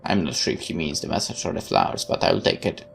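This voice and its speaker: A woman's voice: extremely low pitch, big pitch swings, fast, creaky, a little hiss or hum. A woman hosting a let's play, reading visual novel narration aloud and adding her own asides.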